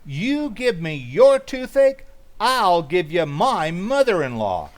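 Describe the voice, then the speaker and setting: A man's voice: high voice, very animated, slow pace, exaggerated voice. A man playing a middle-aged, wry and henpecked working-class pal in old-time radio comedy, with sardonic, folksy speech. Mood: cynical warning.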